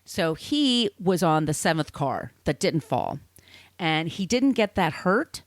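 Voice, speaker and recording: Woman podcaster, conversational storyteller, very animated intonation, irreverent, dry studio sound. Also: on the low side, takes it slowly, no room sound. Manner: irreverent, casually sweary. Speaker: podcast host. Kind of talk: conversational storytelling from researched notes.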